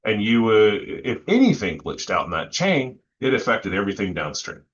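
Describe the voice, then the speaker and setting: A man talking casually and technically as a panelist, halting as he thinks aloud. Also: fairly low pitch, big pitch swings, dull audio. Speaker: panelist in music technology discussion.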